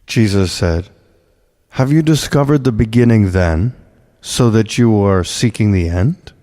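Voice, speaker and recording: A man reading a spiritual text as solemn scripture, with measured, deliberate and dramatic phrasing. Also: on the low side, dark tone. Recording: long cavernous reverb.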